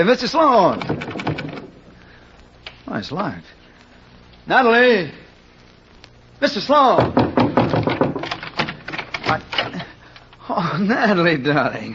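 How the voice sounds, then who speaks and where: An actor playing an earnest young suitor in a radio murder mystery, speaking in an urgent, colloquial way. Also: male voice, high voice, full character voice. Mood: alarmed, urgent. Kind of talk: 1940s radio acting. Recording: muffled old radio recording with faint noise.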